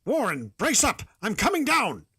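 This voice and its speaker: A man's voice: very high-pitched, huge pitch swings, normal speed, slightly rough, voice pushed up, exaggerated voice. A man voicing a horrified man who calls out desperately in a horror story reading. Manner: desperate loud calling. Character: horrified man shouting down a line.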